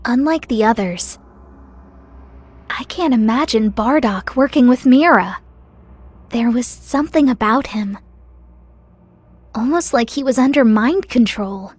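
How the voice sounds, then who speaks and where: A woman's voice: fairly high pitch, lively intonation, unhurried, long pauses, slightly rough, dry recording. A woman playing a small, youthful goddess in a video game, spirited, earnest and determined. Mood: thoughtful. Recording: loud game music underneath.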